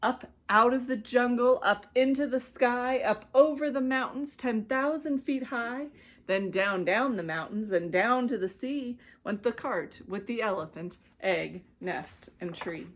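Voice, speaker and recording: An American woman reading a rhyming children's storybook aloud as an expressive narrator. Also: on the high side, unhurried. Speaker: children's ministry director reading stories.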